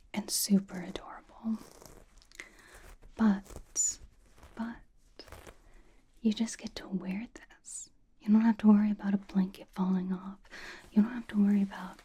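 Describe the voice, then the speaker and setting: A woman voicing a young female K-pop idol who is dryly doting and comfort-obsessed, over-explaining playfully in a hushed, casual ASMR roleplay whisper. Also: medium pitch, slight background noise, natural voice. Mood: playfully enthusiastic.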